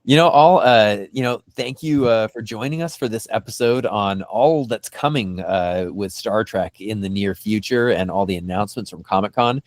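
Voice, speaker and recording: A man hosting a casual podcast discussion, talking enthusiastically in a halting way and often repeating phrases. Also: on the low side, no room sound, studio quality. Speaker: podcast host.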